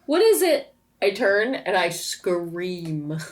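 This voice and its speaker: A woman's voice: on the low side, sing-song, takes it slowly. A woman player in a tabletop roleplaying game, casually improvising as her character and describing her actions.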